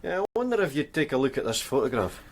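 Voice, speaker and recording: A man's voice: medium pitch, very animated, fast, a little hiss or hum. An actor playing a methodical male police officer, asking questions politely and in a businesslike way. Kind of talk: radio drama acting.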